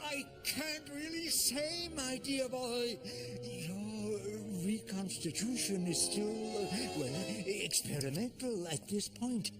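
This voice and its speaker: An actor playing a fussy, polite gentleman in an animated series, halting and mannered in his speech. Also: high-pitched. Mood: evasive. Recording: loud music under the voice.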